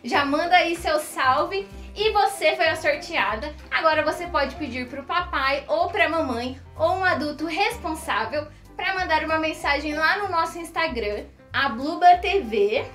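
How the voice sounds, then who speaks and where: A young Brazilian woman hosts a children's live stream with a high, bright voice. She addresses the viewers in an animated, exclamatory way. The room is echoey. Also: measured pace. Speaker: children's show host. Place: decorated indoor studio set, standing. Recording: roomy echo, music behind.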